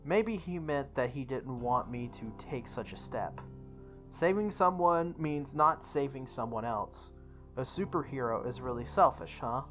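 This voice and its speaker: A woman's voice: extremely low pitch, expressive, unhurried, a bit bright. A woman reading visual novel text aloud as a playthrough host, sometimes stumbling and re-reading lines. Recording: music behind the voice.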